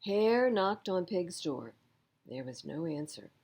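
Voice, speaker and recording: Slow, expressive woman storyteller, mellow voice. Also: on the low side, breathy edge. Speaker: children's storyteller. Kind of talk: expressive picture-book reading for children.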